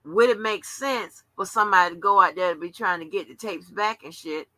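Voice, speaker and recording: A woman's voice: mid-range voice, fairly quick, pushed and bright, dry recording. A woman in her seventies hosting a casual late-night livestream, talking in a rambling, chatty way with salty slang. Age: 70s. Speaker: livestream host.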